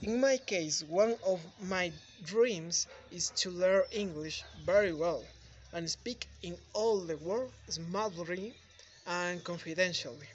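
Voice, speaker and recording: A young man from Ecuador with a Spanish accent, giving a prepared testimonial in careful, halting learner English, recorded outdoors on a phone. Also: high-pitched, dull audio, slight background noise. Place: outdoors under open sky.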